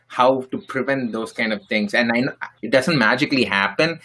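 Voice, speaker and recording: A man's voice: medium pitch, pushed and bright, poor audio. A man from India speaking on a panel discussion, earnest and explaining with examples. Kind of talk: roundtable discussion.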